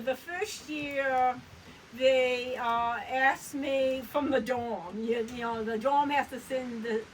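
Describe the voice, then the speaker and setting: An older woman from Maine with a Maine accent, telling rambling stories with many pauses and laughs in a casual family interview on a porch. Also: on the high side, a bit bright, distant mic, slight background noise, poor audio. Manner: rambling, halting storyteller who laughs often. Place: front porch outdoors.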